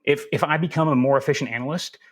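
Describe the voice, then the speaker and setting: A man with a Southern US accent, answering interview questions in a relaxed, folksy and self-deprecating way. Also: on the high side, fast talker. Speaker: security instructor as guest.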